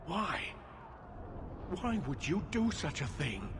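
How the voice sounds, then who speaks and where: Indignant male mage leader, fairly high voice, very expressive, formal fantasy game drama, noisy backdrop. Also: slow, exaggerated voice. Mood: outraged, desperate.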